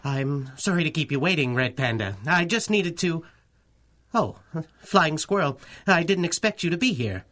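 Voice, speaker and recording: Older scientist, polite, fussy, avuncular, hesitant, old-time radio drama. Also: male voice, on the high side, lively intonation, normal speed, fairly bright tone. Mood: courteous, flustered. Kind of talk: 1930s-style radio drama acting.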